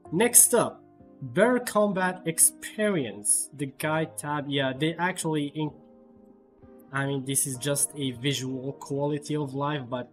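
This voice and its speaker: A man giving casual live gameplay commentary as a streamer, often repeating words in a halting way. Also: mid-range voice. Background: faint game music.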